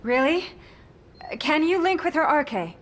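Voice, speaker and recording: A woman's voice: sits high, big pitch swings, unhurried, a bit bright, full character voice. An actress playing an earnest, polite young female operative in a video game, eager and caring. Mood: worried.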